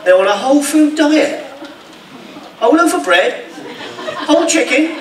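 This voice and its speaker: A man's voice: extremely high pitch, lively intonation, measured pace. A man playing a henpecked, money-grubbing husband in pantomime, telling jokes ruefully to an audience. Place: theatre stage in a village hall. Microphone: far camera. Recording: echoey noisy hall from far off.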